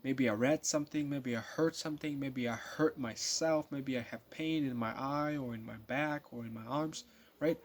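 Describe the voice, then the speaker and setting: A man's voice: mid-range voice, normal speed, a little hiss or hum. A nineteen-year-old man talking casually to his viewers in a rambling monologue, full of fillers and restarts. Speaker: vlogger.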